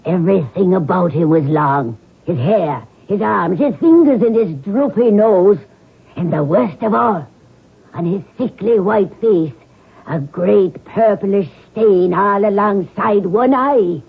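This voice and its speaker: An elderly maidservant full of superstition. She speaks slowly and ominously in a very rough, husky voice. The radio-play recording is narrow and tinny. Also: medium pitch, lively intonation, heavily acted. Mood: ominous, humble. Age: older. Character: superstitious, foolish old Irish female servant. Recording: band-limited old radio recording.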